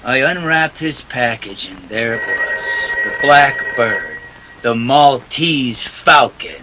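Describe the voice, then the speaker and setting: An actor playing a tough, wisecracking private detective in a 1940s radio drama, clipped and defiant. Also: male voice, medium pitch, expressive, takes it slowly, low-quality recording. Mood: hard-boiled narrating. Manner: hard-boiled, clipped, defiant. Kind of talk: 1940s radio drama acting with first-person narration.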